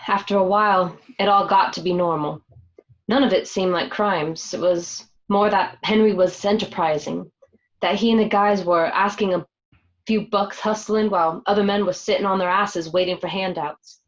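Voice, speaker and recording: A woman's voice: medium pitch, steady tone, normal speed, close to an everyday voice. A woman acting a candid, uneasy young gangster's wife in a radio play. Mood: matter-of-fact. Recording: slightly dull home recording.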